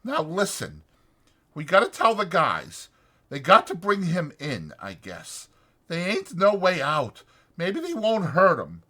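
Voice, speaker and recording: A man's voice: high-pitched, wildly swooping pitch, slow, bright, forceful tone, slightly rough, voice pushed up, heavily acted. A man voicing a weary, resigned but protective ranch worker in an audiobook, with blunt, ungrammatical rural working-man speech. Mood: earnest. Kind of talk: dramatized audiobook character voice.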